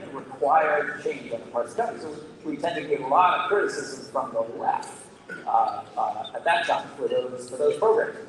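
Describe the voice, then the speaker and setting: A man moderating a panel discussion, asking long questions and often halting with fillers. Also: medium pitch. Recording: distant mic in an echoey hall with crowd.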